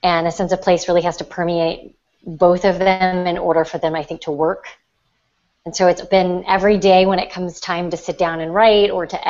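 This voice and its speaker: Female writer guest, slightly low register, thoughtful conversational delivery, muffled online-call recording. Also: darkish tone. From Ozarks, United States. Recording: dull lo-fi online call audio.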